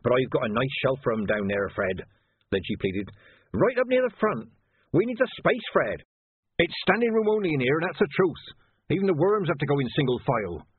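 A man's voice: mid-range voice, animated, a little fast, husky, no room sound, narrow, tinny audio, voice pushed up. A man voicing an eccentric, sulky male gravedigger who lives in the crypts and talks in a wheedling, pleading way. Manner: wheedling, pleading, faux-old-fashioned speech.

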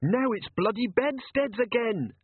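Man doing a panicky, high-pitched ship's captain. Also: normal speed, husky, no room sound, narrow, tinny audio. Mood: frantic wailing. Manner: excitable, colloquial, protesting. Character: panicky, exasperated, moaning male ship's captain.

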